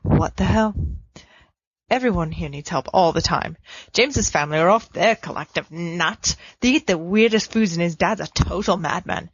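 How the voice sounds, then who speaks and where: A woman's voice: on the low side, no room sound. A woman reading a letter from a fan story aloud in a playful, theatrical way. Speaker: podfic reader. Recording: dry, dull lo-fi recording.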